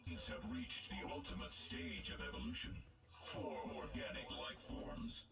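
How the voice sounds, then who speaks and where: Evil robot game villain, super deep processed voice, cold smug and formal, kinda distant w loud music. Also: male voice, very animated, a little slow, creaky, heavily acted. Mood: condescending. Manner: condescending, formal, menacing. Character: arrogant genocidal male robot villain, cold and calculating. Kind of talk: video game villain dialogue.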